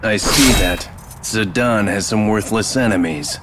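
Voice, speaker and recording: Low, terse young lone-wolf warrior played by a man. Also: a little slow, noisy background, natural voice. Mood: cold disdain. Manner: terse, aloof, deadpan. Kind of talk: video game cutscene acting. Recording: loud music behind the voice. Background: game music.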